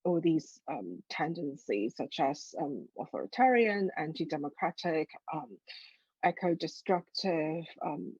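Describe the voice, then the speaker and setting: A woman moderator with a Hong Kong accent and a low, husky voice addresses a panel. She speaks haltingly, searching for her words, in a dry, slightly muffled recording. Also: expressive, darkish tone, dry recording. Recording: dry, slightly dull lo-fi audio.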